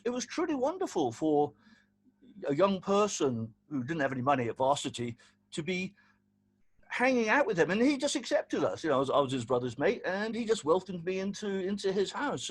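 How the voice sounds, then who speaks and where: 60s guy from south africa, big husky voice, rambling on at a wake, lots of restarts. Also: a little high, sing-song, brisk. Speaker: friend giving a eulogy at a wake. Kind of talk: informal spoken eulogy.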